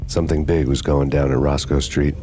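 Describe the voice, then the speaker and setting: An actor playing a world-weary, sardonic undercover cop, narrating in a brooding deadpan noir style. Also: male voice, a bass voice, fairly quick, soft background music.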